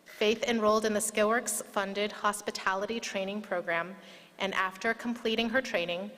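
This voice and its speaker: Female event speaker, formal scripted introductions, medium pitch, long hall reverb. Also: steady tone, moderate pace, fairly bright tone. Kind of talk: formal prepared speech. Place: large indoor hall, public event.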